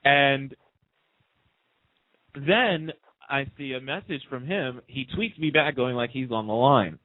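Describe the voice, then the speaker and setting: A man's voice: mid-range voice, takes it slowly. Guy telling stories in an interview, chatty and into it, lo-fi phone sound. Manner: chatty, enthusiastic storytelling with acted-out quoted dialogue. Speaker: radio host being interviewed as a guest. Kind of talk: casual anecdotal interview chat. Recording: band-limited, lo-fi phone-in radio sound.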